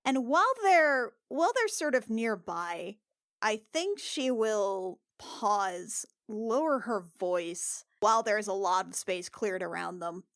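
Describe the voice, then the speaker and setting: A woman's voice: medium pitch, lively intonation, slow, fairly bright tone, dry recording, studio quality. A woman playing in a tabletop role-playing podcast, narrating casually and tentatively as she thinks aloud. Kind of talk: casual improvised game narration.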